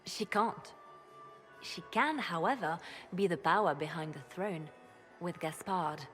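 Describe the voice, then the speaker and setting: An actress playing a grave, composed and calculating spymaster advisor in a video game, speaking in a measured, persuasive manner. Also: female voice, on the low side, fairly bright tone, faint music behind. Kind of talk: video game cutscene acting. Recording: long hall reverb.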